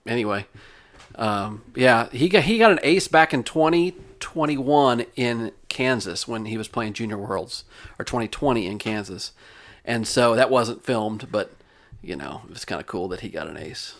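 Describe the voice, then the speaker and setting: A man's voice: mid-range voice, expressive, normal speed. A man chatting casually as a co-host, with a joking, teasing way of talking. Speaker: podcast co-host. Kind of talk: casual chat.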